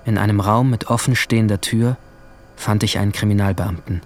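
A man's voice: on the low side, steady tone, fairly quick, slight background noise, lightly acted. A young man playing a troubled teenage boy who narrates a radio drama in the first person, sombre and restrained. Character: troubled teenage boy recounting his guilt.

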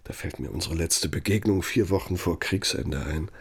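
Bitter old man in a radio drama, deep-ish creaky voice, sardonic n reproachful, takes his time. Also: moderate pace, exaggerated voice. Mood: grave recollection. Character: embittered older German man, betrayed former confidant, proud and accusing.

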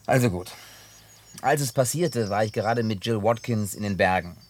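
An actor dubbing a man who tells a long story in a laid-back way with gruff humor. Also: lowish voice, moderate pace, natural voice. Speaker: lead character in a dubbed film. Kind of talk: dubbed film acting.

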